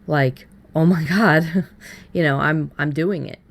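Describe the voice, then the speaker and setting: A woman's voice: sits low, takes it slowly. A woman hosting a podcast, explaining things in an informal, conversational way. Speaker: podcast host. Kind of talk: casual conversational podcast discussion.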